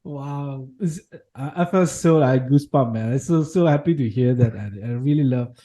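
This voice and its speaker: A man's voice: medium pitch, moderate pace, warm tone. A man hosting an interview, reacting with enthusiasm and asking questions. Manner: enthusiastic, short reactions of amazement. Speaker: interviewer, host. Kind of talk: casual interview.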